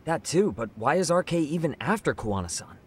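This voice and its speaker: A man's voice: a little high, big pitch swings, a little fast, a little hiss or hum. A man playing an inquisitive detective's ally in a game cutscene, conversational and questioning. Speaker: video game voice actor. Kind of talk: English dub game cutscene dialogue.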